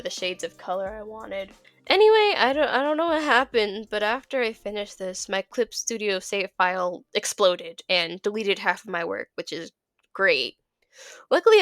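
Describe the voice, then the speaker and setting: A young woman narrating a casual art vlog, laughing often and tripping over her words while her voice is still off from being sick. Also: medium pitch. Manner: giggly, stumbles and repeats words. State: recovering from illness, voice a bit off. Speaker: video creator.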